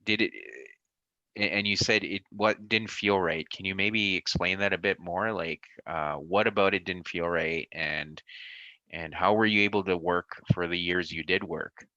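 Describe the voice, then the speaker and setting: Man in his 40s, podcast host, very low pitch, bright edgy tone, halting questions, dry lo-fi sound. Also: steady tone, no echo. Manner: friendly, halting, filler-heavy questions. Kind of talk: casual interview questions.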